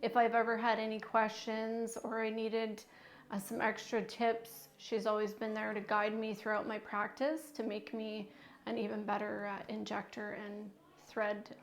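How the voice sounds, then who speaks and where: A woman's voice: medium pitch, monotone, a little slow. A woman, an injector giving an appreciative testimonial. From Canada. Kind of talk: testimonial interview answers.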